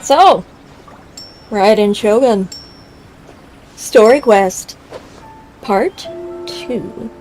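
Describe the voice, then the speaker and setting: A woman's voice: medium pitch, very slow, pauses often, a little mellow, faint music behind, rough recording. A woman giving casual, playful live commentary as she streams a game. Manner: informal, playful reactions.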